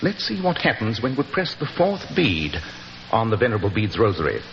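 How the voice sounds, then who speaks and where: An actor playing a precise, confident, commanding British gentleman detective in 1940s radio style. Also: fairly low pitch, wildly swooping pitch, moderate pace, creaky, exaggerated voice. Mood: intent, reasoning. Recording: muffled 1940s radio, reverberant, hissy.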